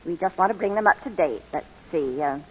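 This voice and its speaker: Businesslike but kind adoption lady, lively intonation, old radio drama, dull sound. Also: lowish voice, big-room sound. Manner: formal, measured, reassuring. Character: kindly, businesslike female adoption agency official. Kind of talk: 1940s radio drama acting.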